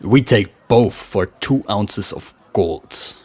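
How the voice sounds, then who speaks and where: A man's voice: lowish voice, lively intonation, takes it slowly, a little mellow, breathy edge, exaggerated voice. A man voicing a stern, commanding Norse crew leader. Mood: forceful. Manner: commanding, emphatic.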